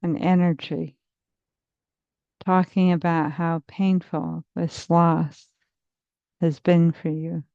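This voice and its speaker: A woman therapist leading a guided meditation in a soothing, measured, hypnotic manner with long pauses, on slightly muffled audio. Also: fairly low pitch, level tone, creaky, no room sound. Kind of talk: slow guided meditation narration.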